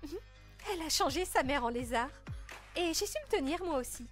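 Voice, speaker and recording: A woman's voice: high-pitched, lively intonation, brisk, faint music behind. A woman voicing a spoiled, jealous teenage princess with a snooty, dramatic manner in a fan-dub narration. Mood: boastful.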